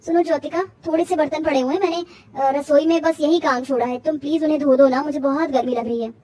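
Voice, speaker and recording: A woman's voice: high-pitched, steady tone, fast, darkish tone, natural voice. A woman voicing a meek, hardworking young daughter-in-law in a cartoon story, polite and weary. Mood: pleading. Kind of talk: cartoon moral-story acting.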